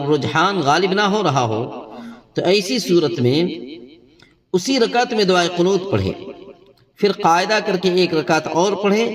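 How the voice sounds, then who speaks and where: A man's voice: fairly high pitch, distant mic. A man giving a religious lesson as an Islamic teacher, explaining rulings in a didactic way with formal Urdu and Arabic religious terms.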